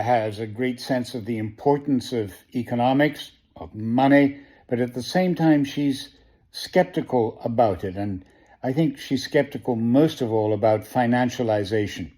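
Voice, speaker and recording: A man from New York City talks through a press review, mid-pitched and conversational. He often pauses on "uh" and circles back to restart his sentences, giving it a loose, unscripted feel. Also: warm tone. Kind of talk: casual spoken press review.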